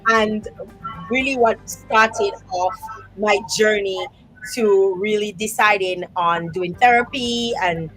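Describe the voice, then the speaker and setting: A woman hosts a live online talk show and talks in an expressive way, her pitch climbing when she gets animated. Her voice is of middle pitch. Faint music plays behind her. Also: slow, low-quality recording. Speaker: host.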